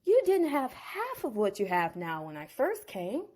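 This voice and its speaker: A woman's voice: mid-range voice, huge pitch swings, unhurried, a little mellow. Jacob, crafty shepherd a woman plays. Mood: persuasive.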